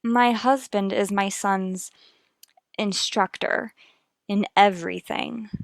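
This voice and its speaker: A woman's voice: mid-range voice, fairly even intonation, slow pace, creaky, no room sound. Hesitant, worried mother, close and dry. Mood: anxious, pained. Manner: hesitant, careful, confiding. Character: worried, earnest young mother confiding about her son. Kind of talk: vintage radio soap opera acting.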